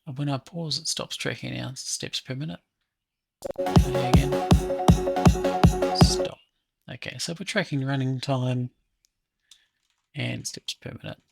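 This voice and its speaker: A man with a gravelly, husky voice talking casually to himself as he hosts a think-aloud software tutorial. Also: medium pitch, fairly even intonation, unhurried, long pauses, loud music behind the voice. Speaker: app developer hosting a coding session.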